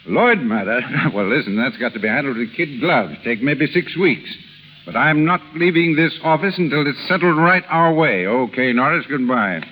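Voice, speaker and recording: An actor playing a brisk, decisive middle-aged steamship magnate in 1930s radio style. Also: male voice, mid-range voice, expressive, pushed and bright. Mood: brisk, businesslike. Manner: brisk, businesslike. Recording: muffled, hissy 1930s radio recording.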